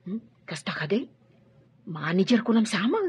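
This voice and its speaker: A woman's voice: mid-range voice, lively intonation, moderate pace, husky. A woman playing a playful, curious young unmarried lady boss in a radio drama. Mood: inquisitive. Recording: dull lo-fi radio sound.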